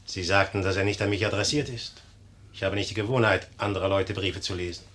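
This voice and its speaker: An actor playing a commanding, shrewd and sardonic young general in a 1950s German radio play. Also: male voice, sits low, fairly even intonation, fast, fairly bright tone. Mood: cool, restrained.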